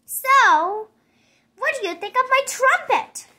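A woman reads as an excitable young pig from a picture book. The voice is extremely high and bright, swooping widely with eager, insistent exclamations. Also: slow pace, exaggerated voice. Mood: eager, expectant. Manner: exclamatory, emphatic.

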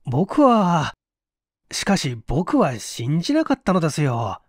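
A man voicing a guarded, melancholy gentleman who answers hesitantly and politely in a story reading. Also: fairly high pitch, wildly swooping pitch, slow pace, no room sound, studio quality, full character voice. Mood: uneasy, guarded. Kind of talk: acted dialogue in story reading.

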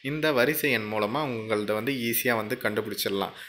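A man's voice: lowish voice, fairly quick, fairly bright tone. A man hosting a casual screen-recorded tutorial, chatty and walking through each step while often checking in with the viewer. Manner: chatty, keeps checking in with "okay?". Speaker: tutorial channel host.

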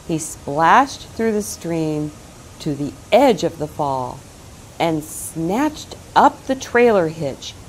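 Slow-paced woman reading a storybook. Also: mid-range voice, slight background noise, rough recording. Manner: deliberate dramatic phrasing with pauses. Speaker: children's storytime host reading aloud. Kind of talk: expressive picture-book read-aloud for young children.

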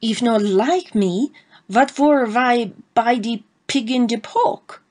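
A woman voicing a vain elderly French woman with a French accent, who speaks broken English slowly and primly. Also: fairly high pitch, a little mellow. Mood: indignant. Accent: French (put on). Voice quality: broken English, slow and mincing.

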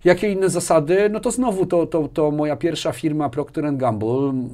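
A man's voice: fairly high pitch, normal speed, dark tone. Man chatting as an interview guest. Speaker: interview guest, business manager. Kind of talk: conversational interview, personal anecdotes. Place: small furnished studio, indoors. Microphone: lapel mic.

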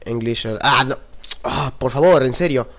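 A man's voice: mid-range voice, a little slow, fairly bright tone. A young man from Argentina with an Argentine Spanish accent, chatting casually and jokily on a webcam vlog, swearing freely and mocking himself. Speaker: YouTuber. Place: small room indoors at a desk. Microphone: computer webcam mic.

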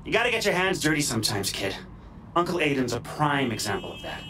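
A man's voice: a little high, sing-song, fast, background noise. An actor playing a wisecracking, cocky masked male hacker, with playful, theatrical delivery in game cutscene banter. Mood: joking. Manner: playful, theatrical, mock-dramatic, sarcastic.

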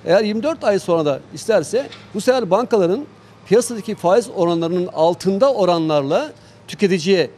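Male executive interviewee, slightly high register, halting and measured promotional delivery. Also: lively intonation, darkish tone, a little hiss or hum. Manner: halting, measured, pausing often between phrases. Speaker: company chairman being interviewed.

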